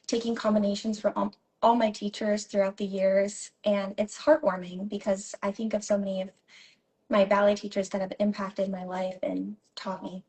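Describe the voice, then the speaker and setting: A woman's voice: medium pitch, level tone, normal speed, darkish tone, low-quality recording. A woman in her twenties from California, a ballet dancer and teacher, speaking earnestly as an interview guest and now and then checking notes. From San Jose, California. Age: 20s. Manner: earnest, at times reads from notes. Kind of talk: casual interview chat.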